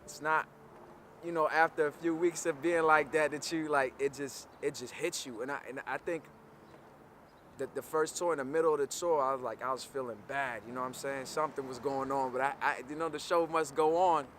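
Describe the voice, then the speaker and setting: Laid-back rapper talking outdoors. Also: male voice, on the high side, fairly even intonation, a little fast, a bit bright. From Comoros Islands, raised in the Maryland/DC area. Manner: laid-back, conversational, lots of "like" and "you know". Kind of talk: casual talking-head interview. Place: outdoors by a graffiti wall in a city. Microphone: camera framed at chest height. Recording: faint city noise outdoors.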